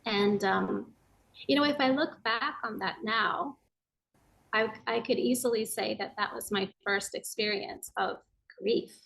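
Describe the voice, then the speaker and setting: A woman's voice: medium pitch, measured pace, gravelly, poor audio. Earnest American-sounding woman guest. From Japan. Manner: earnest, reflective, with soft hesitations. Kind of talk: personal storytelling interview.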